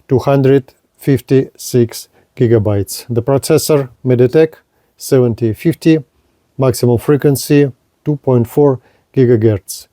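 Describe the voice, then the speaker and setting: A man presents a gadget at a very slow pace, in short halting phrases. His voice is mellow and relaxed at a middle pitch, recorded close and dry. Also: no echo. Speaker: gadget reviewer. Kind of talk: unboxing and product review narration.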